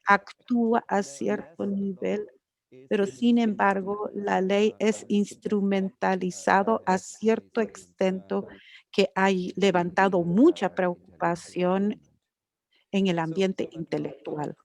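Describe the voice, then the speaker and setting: A woman interpreting an academic lecture live, halting and correcting herself as she goes. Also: mid-range voice, steady tone, gravelly, darkish tone. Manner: halting, with frequent restarts and self-corrections. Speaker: conference interpreter.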